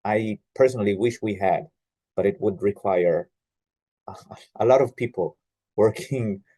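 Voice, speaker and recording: A man from Israel, a genealogy researcher, giving an online presentation with many pauses and fillers. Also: on the low side, mellow, husky, dry recording. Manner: hesitant, many "uh" fillers and restarts. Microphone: video call.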